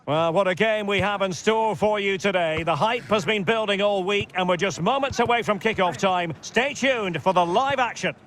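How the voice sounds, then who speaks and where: A man's voice: high-pitched, moderate pace, few pauses, fairly bright tone. A man playing an eager TV football commentator in a video game, giving dramatic, scripted pre-match build-up. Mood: building excitement. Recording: stadium ambience and noise behind.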